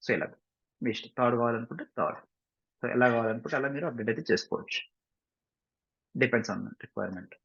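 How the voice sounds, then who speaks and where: Husky Telugu man teaching software, dull audio. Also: mid-range voice, a little fast, long pauses. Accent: Indian, Telugu. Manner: instructional, repeatedly checking understanding with short questions. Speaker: software trainer. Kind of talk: step-by-step screen-share tutorial, mixing two languages. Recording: dull, roomy lo-fi screen recording.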